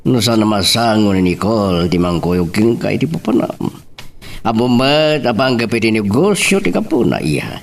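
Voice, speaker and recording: A man's voice: on the low side, sing-song, moderate pace, creaky. A man playing a practical, firm father in an Ilocano drama, with an Ilocano accent. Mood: firm, placating. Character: father, practical, siding firmly with business. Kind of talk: Ilocano radio-style serial drama acting.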